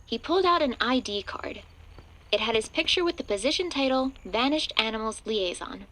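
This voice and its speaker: A woman's voice: a little high, lively intonation, normal speed, sharp tone, poor audio. A woman reading scripted third-person narration for a horror audio drama.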